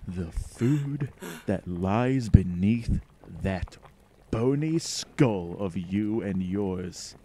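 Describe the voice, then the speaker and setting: A slow, menacing alien monster in an old horror radio play with a hissy recording. The creature speaks low, cold and commanding, like a hungry keeper looking down on cattle. The delivery is hypnotic and full of contempt. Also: male voice, animated, warm tone. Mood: hungry menace. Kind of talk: old-time horror radio drama acting.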